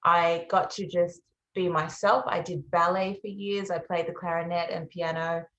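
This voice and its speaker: A woman's voice: on the low side, steady tone, normal speed, roomy sound, dull audio. A young Australian woman, a musician, answering interview questions in a reflective, earnest way. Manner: reflective and earnest, with soft fillers. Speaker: musician guest.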